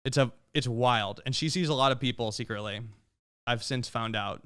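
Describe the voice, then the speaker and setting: Relaxed, rambling male guest. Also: medium pitch, normal speed, smooth. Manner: relaxed, rambling, open-minded. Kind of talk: casual chat.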